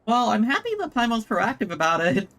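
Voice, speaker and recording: A woman's voice: fairly high pitch, big pitch swings, a little fast, a bit bright. A young woman giving casual, chatty live commentary as she streams a video game. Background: game music.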